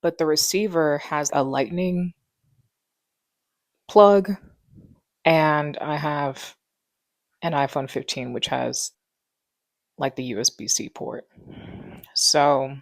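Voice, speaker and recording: Gravelly-voiced young woman chatting casually. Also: deep voice, dry recording. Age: 20s. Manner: relaxed rambling, many fillers. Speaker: vlogger. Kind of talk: casual chat. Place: living room at home. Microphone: wireless clip mic.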